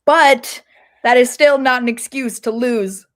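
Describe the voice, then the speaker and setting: A woman's voice: on the high side, steady tone, measured pace. An American woman talking casually as co-host of a sports podcast.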